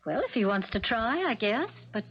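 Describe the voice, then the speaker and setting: An actress playing a gentle, uneasy stepmother in a 1970s radio drama, modest and apologetic in her manner. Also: fairly high pitch, lively intonation, moderate pace, breathy edge. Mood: modest, agreeable. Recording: muffled vintage radio broadcast recording.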